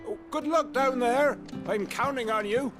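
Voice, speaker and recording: A man's voice: very high voice, moderate pace, music in the background. A man playing a nervous, sheepish fishmonger in a video game, chatty and stammering, with a British accent.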